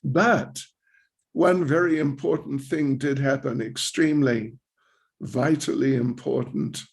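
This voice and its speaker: An older man in his seventies from New Zealand speaks slowly in a relaxed, rambling way, giving a spiritual class with self-deprecating asides. His voice has a gravelly edge, and he takes his time between phrases. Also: medium pitch. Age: 70s. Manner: slow, rambling, self-deprecating with light laughs. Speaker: visiting swami giving a class.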